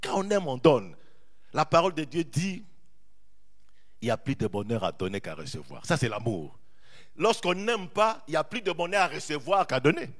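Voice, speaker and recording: Man preaching, fairly high voice, very lively swinging pitch, stretches key words. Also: moderate pace. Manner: animated preaching, repeats phrases, draws out key words. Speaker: pastor. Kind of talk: sermon.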